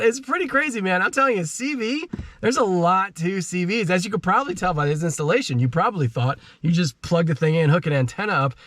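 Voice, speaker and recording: A man's voice: sits high, fairly bright tone, no echo, clean studio sound. A man talking casually and informally as the host of a do-it-yourself car vlog, using plenty of slang as he explains things. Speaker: host of a car DIY channel. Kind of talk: casual how-to vlog talk.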